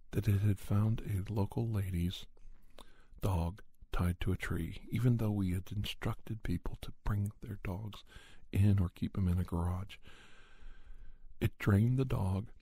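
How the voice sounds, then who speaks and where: A man telling a spooky first-person story in a soft, breathy ASMR style, often near a whisper, in deliberate short phrases with pauses. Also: on the low side, fairly even intonation, no echo. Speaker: podcast storyteller.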